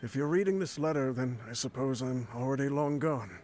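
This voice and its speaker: A man's voice: mid-range voice, a little fast, a little mellow, slight background noise, close to an everyday voice. A man playing an ailing old mercenary leader in a video game, wry, boastful and fatherly as he voices a letter. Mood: wry, reflective. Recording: game music behind the voice.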